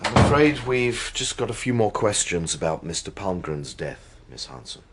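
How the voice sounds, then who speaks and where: An actor playing a polite, low-key detective inspector in a radio drama, tentative and apologetic but persistent. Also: male voice, big pitch swings, moderate pace, noisy background.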